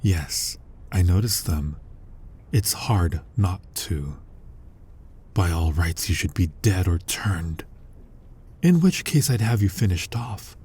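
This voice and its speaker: A very low, husky male voice acted by a man for a centuries-old vampire hunter in an audio roleplay. He is menacing and dominant, speaking slowly and breathily, with taunting pauses and a tendency to sink toward a whisper. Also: expressive, dark tone, heavily acted. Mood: intrigued, suspicious. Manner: commanding, interrogating, taunting with slow pauses. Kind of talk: dramatic ASMR roleplay, intimate and slow.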